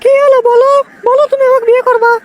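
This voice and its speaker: A performer voicing a brisk, bossy village mother in a comic cartoon skit, with a squeaky voice and a Bogura accent from northern Bangladesh. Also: level tone. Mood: coaxing. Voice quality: very high, squeaky pitched-up voice.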